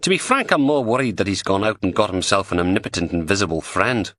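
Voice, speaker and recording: A man doing a flat Liverpool psychologist. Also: fairly low pitch, no echo, clean studio sound. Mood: deadpan. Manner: flat, measured, deadpan. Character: dry male psychologist, flat and odd.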